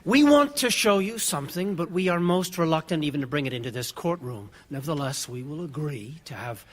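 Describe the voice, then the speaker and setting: An actor playing a grave government attorney who insists on secrecy and stresses every word. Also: male voice, a little high, animated, a little fast. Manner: grave, emphatic about secrecy. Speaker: government lawyer.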